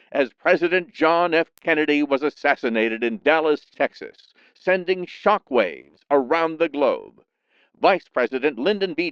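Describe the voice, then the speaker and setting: A man narrating scripted news in a dramatic, measured 1960s newsreel announcer style. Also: on the high side, smooth, no room sound, muffled recording.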